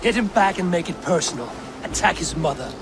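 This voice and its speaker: An actor playing a seasoned Viking warrior who speaks in a measured, commanding way. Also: male voice, high-pitched, animated, music in the background. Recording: game cutscene with loud ambience.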